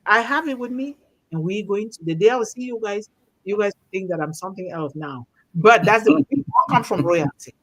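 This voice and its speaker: A woman's voice: mid-range voice, lively intonation, fairly quick, fairly bright tone, rough recording. A woman from Africa hosting a discussion, speaking in an impassioned, informal way and repeating phrases for emphasis. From born and raised in Africa. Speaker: host of a discussion. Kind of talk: impassioned informal storytelling and exhortation.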